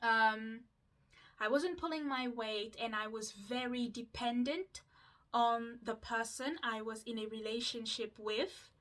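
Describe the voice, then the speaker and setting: A woman's voice: a little high, a little slow, fairly bright tone. Chatty young woman from Mauritius. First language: Mauritian Creole. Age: 20s. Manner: chatty, with many fillers and asides. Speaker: vlogger. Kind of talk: casual get-to-know-me chat while doing skincare.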